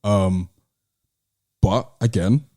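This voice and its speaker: Low, mellow British man in casual debate. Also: drawn-out words. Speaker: podcast host. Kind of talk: casual debate about music.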